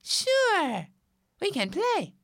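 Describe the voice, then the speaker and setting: A woman voicing a tiny, friendly ant in a children's story, squeaky and simple in manner. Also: huge pitch swings, drawn-out words, fairly bright tone, no echo, clean studio sound, heavily acted.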